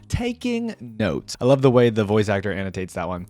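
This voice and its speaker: A man's voice: lowish voice, huge pitch swings, normal speed, darkish tone. A man hosting a reaction video, giving casual off-the-cuff commentary.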